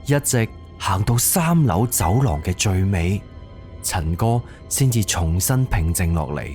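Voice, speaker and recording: A man's voice: low voice, lively intonation, measured pace, a little mellow, faint music behind. A man with a Cantonese accent narrating a scripted suspense horror audio drama.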